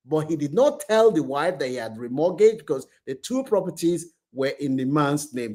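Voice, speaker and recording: A man's voice: mid-range voice, darkish tone. A man hosting and telling a story informally, speaking emphatically and repeating phrases for effect. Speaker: host and storyteller. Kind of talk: informal spoken storytelling with commentary.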